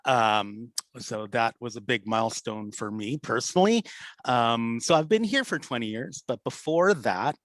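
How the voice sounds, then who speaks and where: Relaxed man introducing himself, dry sound. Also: medium pitch, measured pace, no echo. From Philippines, raised in California. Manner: relaxed, rambling, many fillers. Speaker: senior sous chef. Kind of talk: casual self-introduction on a video call. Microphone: video call.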